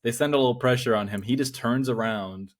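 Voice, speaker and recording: A man co-hosting a casual sports talk show, calmly breaking down plays and reciting stats. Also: mid-range voice, fairly quick. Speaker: podcast co-host.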